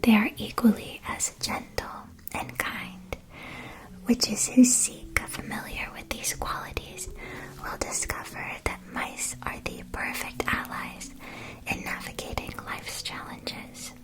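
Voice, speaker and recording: A gentle witch shopkeeper, played by a woman, speaks in a soft, breathy whisper. She takes her time over each phrase, careful and politely attentive, in the manner of a fantasy ASMR roleplay. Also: a little high, level tone, takes it slowly, low-quality recording. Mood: gentle, informative.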